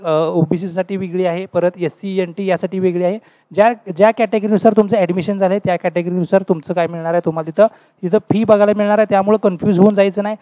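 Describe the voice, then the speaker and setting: High, quick man's tutorial voice-over. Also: warm tone, dry recording. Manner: repetitive, rhetorical questions to students. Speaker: tutorial host explaining university admission steps. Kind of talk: screen-recorded tutorial voice-over.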